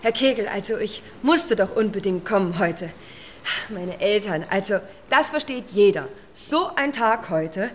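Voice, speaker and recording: A woman's voice: medium pitch, animated, measured pace, slight background noise, natural voice. An actress playing a joyful young art historian and new mother in a radio drama, effusive and full of short exclamations. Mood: happy. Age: young adult. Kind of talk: conversational radio drama acting.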